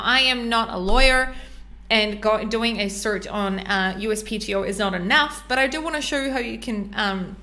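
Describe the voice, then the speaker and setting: Bright-voiced New Zealand woman explaining. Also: medium pitch. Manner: chatty, step-by-step explaining with frequent ums and uhs. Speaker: podcast host. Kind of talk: live screen-share tutorial.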